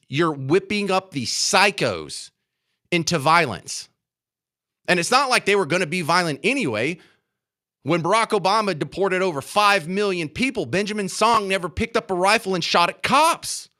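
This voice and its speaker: Indignant American male talk radio host, animated, sharp. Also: fairly high pitch. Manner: emphatic, indignant, repeats phrases for emphasis. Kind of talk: opinionated political talk radio monologue. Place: radio studio.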